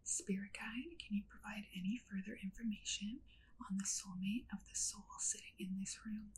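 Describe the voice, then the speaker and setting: A woman whispers softly and close to the microphone, playing a kind witch who guides a listener through a reading. Her unvoiced whisper is gentle and soothing, and it moves at an easy pace with small "um"s between phrases. Faint room hiss sits under her voice. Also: mid-range voice, fairly even intonation, normal speed, rough recording. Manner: soft unvoiced whisper, gentle and reassuring, frequent "um". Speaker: ASMR roleplay host as a witch reader. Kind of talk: whispered ASMR roleplay.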